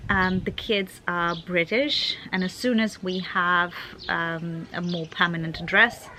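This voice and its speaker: Young woman from ukraine vlogging, lowish voice, chatty and rambling, bit of hiss behind. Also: a bit bright, poor audio. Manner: chatty, thinking aloud with fillers and restarts. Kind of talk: casual vlog chat to camera.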